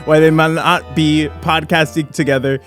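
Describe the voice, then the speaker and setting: A man's voice: a little high, level tone, normal speed, a bit bright, faint music behind. A man co-hosting a comedy podcast, bantering playfully with a mock-solemn streak and laughing often. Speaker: podcast co-host. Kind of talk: jokey, bantering podcast chat.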